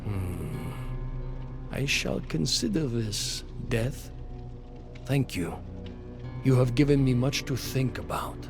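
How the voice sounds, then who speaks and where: A man's voice: mid-range voice, lively intonation, slow pace, creaky, long pauses. An actor playing an imperious, vengeful male vampire lord in a game drama, grave, theatrical and full of disdain. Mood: pensive. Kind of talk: dramatic game cutscene acting. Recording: music behind the voice. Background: music.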